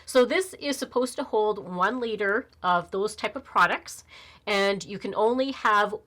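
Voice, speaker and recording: A woman's voice: medium pitch, measured pace, sharp tone. A woman in her fifties with a slightly nasal voice from a cold, chatting casually on camera as a beauty vlogger, rambling with fillers and restarts. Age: 50s. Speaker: beauty YouTuber. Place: small indoor room, plain backdrop.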